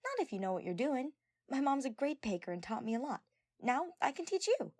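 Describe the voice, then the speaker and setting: A woman plays an energetic teenage girl in an audio story, devoted and easily flustered. The voice leaps up high and moves around a lot. It is earnest and gushing, recorded very close and dry. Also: a little fast, no echo, exaggerated voice. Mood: cheerful, helpful.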